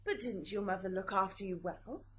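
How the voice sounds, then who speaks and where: A woman's voice: mid-range voice, normal speed, slight background noise, lightly acted. A woman playing a composed, probing courtroom lawyer in an amateur radio drama. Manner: formal, questioning.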